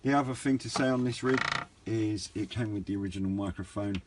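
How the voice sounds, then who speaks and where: Low-voiced man in a casual review. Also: a little fast. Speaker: hobbyist radio reviewer. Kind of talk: casual, unscripted product review. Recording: clear background noise, room ambience.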